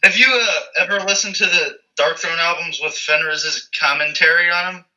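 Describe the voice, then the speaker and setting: A man's voice: on the high side, moderate pace, sharp tone, low-quality recording. A man as guest in a casual interview, easygoing and stammering on the starts of sentences. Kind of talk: casual chat.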